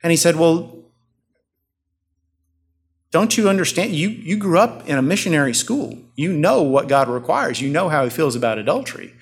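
A man's voice: fairly high pitch, animated, normal speed, long pauses. A man preaching a sermon as pastor to his congregation, with an earnest, rising and falling delivery.

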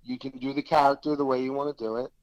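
A man's voice: medium pitch, quick pace, no echo, highs cut off. A man with a South Philadelphia accent, an actor telling stories in a casual interview, acting out what others said to him. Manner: animated anecdote-telling, quoting others in dialogue. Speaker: actor guest.

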